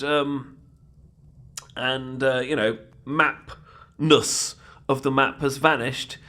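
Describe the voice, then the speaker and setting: A man's voice: on the high side, pushed and bright, full character voice. Stammering, timid British knight, acted by a man. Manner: stammering, hesitant, apologetic, politely flustered. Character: bumbling, timid, adorkable knight, anxiously optimistic. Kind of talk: scripted comedic fantasy audio roleplay.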